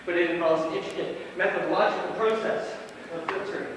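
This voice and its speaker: A man plays a smug, pompous druggie who fancies himself a chemist, in a live stage play. His high voice explains everything pedantically. It comes from far off in a reverberant, noisy hall. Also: fast, fairly bright tone, lightly acted. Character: smug male drug user, pompous self-styled amateur chemist. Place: stage of a small theatre.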